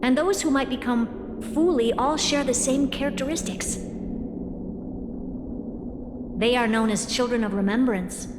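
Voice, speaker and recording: A woman playing an aloof, all-knowing genius who gives grave counsel in a measured, cryptic way in a video game. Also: on the high side, very noisy, lightly acted. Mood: solemn, explanatory. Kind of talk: dramatic video game voice acting. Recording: very echoey long reverb, music behind.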